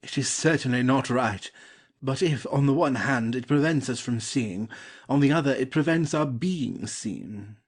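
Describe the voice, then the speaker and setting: An actor playing a polite, cautious gentleman lover in a classic comedy audio play, speaking formally and with measure. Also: mid-range voice, unhurried, slightly rough. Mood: measured, patient.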